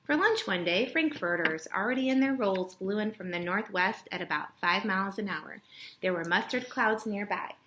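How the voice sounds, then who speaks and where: A woman's voice: mid-range voice, moderate pace. A woman reading a children's storybook aloud to a young child. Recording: noisy home recording with clicks.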